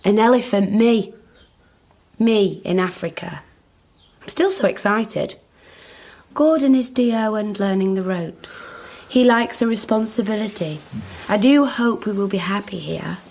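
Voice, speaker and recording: A woman's voice: mid-range voice, a little slow, vocal fry, a little mellow. A woman playing a curious, playful British character with a wry manner in a naturalistic radio drama. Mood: wry, absorbed. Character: nosy, wry British woman rummaging through an estate. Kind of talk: naturalistic radio drama dialogue and reading aloud.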